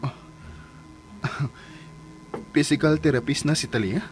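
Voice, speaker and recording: A man's voice: fairly high pitch, takes it slowly, faint music behind. A man acting in a Philippine radio drama as an inquisitive man secretly tailing someone, watchful, with a Filipino accent. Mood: curious, polite. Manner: watchful, self-narrating, inquisitive.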